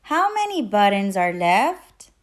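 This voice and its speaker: A woman's voice: mid-range voice, very animated, slow pace. A woman reading a children's picture book aloud expressively, putting questions to young listeners. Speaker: teacher and children's read-aloud host.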